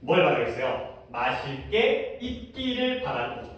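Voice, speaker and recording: A man's voice: a little high, very animated, measured pace, a bit bright. A man teaching a middle-school English grammar lesson in a casual, explaining way, often checking in with his students with questions. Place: classroom. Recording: echoey classroom from far off.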